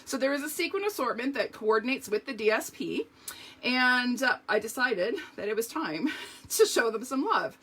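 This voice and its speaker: A woman with a slightly higher, bright voice, a little hoarse, walks her viewers through a card-making project. She talks in an easy, chatty way, explaining each step as she goes. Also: animated, moderate pace. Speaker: craft demonstrator. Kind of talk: live card-making demonstration.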